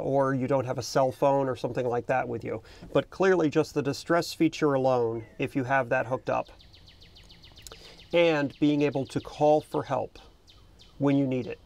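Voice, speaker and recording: A man's voice: medium pitch, creaky, darkish tone. A middle-aged man talking casually to camera as a boating video host, explaining things in an unscripted, conversational way. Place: outdoors in the cockpit of a small parked boat.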